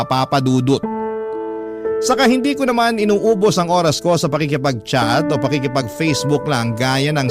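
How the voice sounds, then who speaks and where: A Filipino man narrates a radio drama in an earnest, confiding storytelling style. His voice sits a little high, and music plays clearly beneath it. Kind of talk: dramatic scripted first-person narration. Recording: music bed under the voice. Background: background music.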